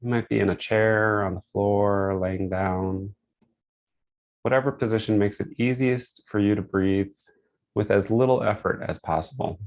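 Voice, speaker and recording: Low-pitched, creaky-voiced man guiding meditation. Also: steady tone. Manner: gentle, unhurried phrasing with pauses. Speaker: guided meditation leader. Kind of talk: guided meditation, instructional and soothing.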